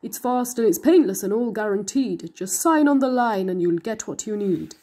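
A woman voicing a sly, mocking male math monster with a snarling, drawn-out delivery, a little away from the mic. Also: mid-range voice, lively intonation, dark tone, lightly acted.

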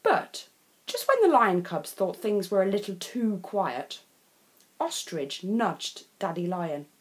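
A woman reading a children's storybook expressively, leaning on key words with deliberate emphasis. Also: mid-range voice, big pitch swings. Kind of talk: scripted storybook narration for children.

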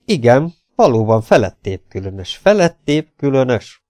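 A man's voice: medium pitch, very animated, a little mellow, dry recording, studio quality, pitched above the performer's own voice, natural voice. A man voicing a meek, absent-minded old professor in an audiobook story, fussily polite and repeating himself in a mild mutter. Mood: flustered, polite.

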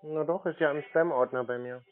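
A man's voice: a little high, brisk. A man in a casual phone conversation, acting clueless and asking questions as a customer. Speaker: scam-baiting prank callee posing as customer. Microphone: phone call. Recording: muffled narrow-band call audio.

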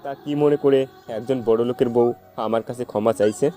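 A dark, mellow male voice a man acting plays a jilted, heartbroken young lover. The lines are melodramatic love-story dialogue over a faint music bed. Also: mid-range voice, steady tone, brisk, close to an everyday voice. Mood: bitter, mocking. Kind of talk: amateur audio love-story drama.

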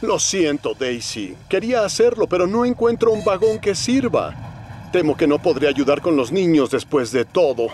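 A man's voice: a little high, animated, normal speed, a little mellow, a little hiss or hum, lightly acted. A man voicing an earnest, helpful tank engine in a Latin American Spanish cartoon dub. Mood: apologetic. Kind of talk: animated children's cartoon dubbing.

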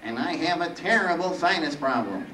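A petty, sarcastic Grim Reaper acted out by a man from a comic storybook. The voice is nasal and whiny, lively in pitch and long-winded. It sounds roomy and a little distant from the mic. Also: normal speed, a little hiss or hum.

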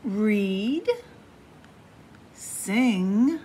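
A woman reads to young children in a playful, sing-song style. Her delivery is extremely slow, with lively swings in pitch. She speaks directly to her little listeners, with some room noise behind her. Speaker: children's librarian reading aloud. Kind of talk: picture-book story time for young children. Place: public library room among bookshelves.

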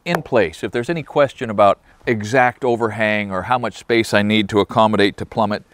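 A man's voice: on the low side, brisk, dry recording. Older male carpenter, plainspoken, outdoors on a lapel mic, dry sound. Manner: plainspoken, practical. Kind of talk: explaining on the job site. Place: outdoor construction site.

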